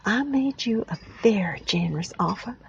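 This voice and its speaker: A woman's voice: mid-range voice, expressive, moderate pace, gravelly, muffled recording, slight background noise, pitched above the performer's own voice. A woman voicing a pushy, sneering businessman making offers.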